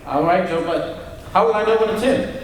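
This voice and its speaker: A man's voice: high voice, normal speed, slight background noise. An actor playing a simple-minded shell-shocked young man in a radio drama, naive and hesitant, stammering a bit. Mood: childlike. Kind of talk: 1930s radio drama acting. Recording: echoey old radio recording from far off.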